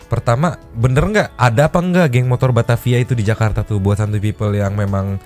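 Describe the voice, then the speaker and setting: A man hosting a casual podcast, reacting to clips with animated, teasing commentary. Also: mid-range voice, a little fast, soft background music. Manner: animated, joking, teasing asides. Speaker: podcast host.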